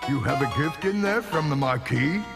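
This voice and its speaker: A man's voice: a little high, expressive, normal speed, creaky, loud music behind the voice. An actor playing a jovial, easily impressed old king in a cartoon fairy tale, with a stately, effusive manner. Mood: gracious, pleased.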